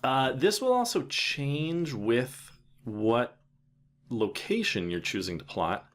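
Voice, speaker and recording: A man narrating an informal software tutorial, thinking aloud in a casual way with trailing pauses. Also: medium pitch, expressive, a little hiss or hum. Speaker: software developer presenting a tutorial.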